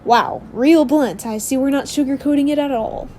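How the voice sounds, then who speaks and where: Brash, higher-pitched teasing young recruit, played by a woman. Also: moderate pace, dark tone, a little hiss or hum, close to an everyday voice. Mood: sardonic. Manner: goading, sarcastic, casual. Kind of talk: audio drama banter.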